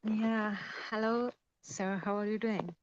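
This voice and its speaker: A young woman from Afghanistan calling a show, speaking halting as she explains her question. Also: medium pitch, fairly even intonation, slight background noise. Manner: non-native English with halting phrasing. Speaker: caller. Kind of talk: hesitant question over a call. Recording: dull lo-fi call audio, dry.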